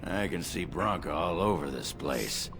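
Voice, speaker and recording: An actor playing a gruff, coarse dwarf warrior with a gravelly voice in a fantasy video game. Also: male voice, low voice, animated, a little slow, over music. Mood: gruff, explaining. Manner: blunt, coarse.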